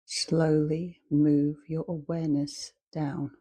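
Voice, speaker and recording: Calm lady doing a guided meditation, really slow, dark mellow voice, short phrases w lots of space between. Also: low voice, slightly rough. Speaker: meditation and yoga teacher.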